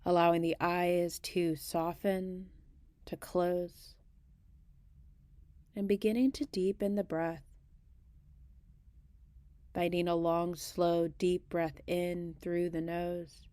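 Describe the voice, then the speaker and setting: Very slow, even-toned woman guiding meditation. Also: lowish voice, smooth, no room sound. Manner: gentle, unhurried phrasing with long pauses. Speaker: meditation guide. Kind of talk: guided body scan meditation.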